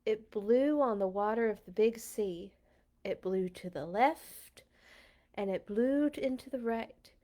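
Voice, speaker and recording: A woman reading stories aloud to children in an expressive, playful way, with casual asides. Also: on the high side. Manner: playful, animated in telling. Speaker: children's storyteller at a church read-along.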